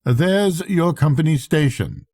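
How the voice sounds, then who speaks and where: Man playing a bitter Swedish ship captain, storybook dialogue, precise, watchful, deeply mellow, dry close sound. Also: lively intonation, no echo, heavily acted. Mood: bitter, wry. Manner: precise, deliberate speech.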